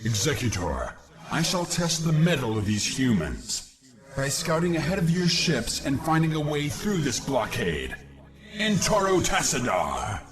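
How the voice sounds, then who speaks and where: A man's voice: medium pitch, huge pitch swings, a little slow. A man playing a proud, eager young alien warrior in a video game, speaking in formal, earnest declarations. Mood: proud, confident. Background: music.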